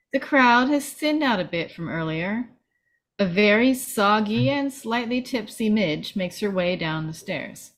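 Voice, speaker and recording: A woman reading screenplay stage directions as scripted narration at a table read. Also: medium pitch.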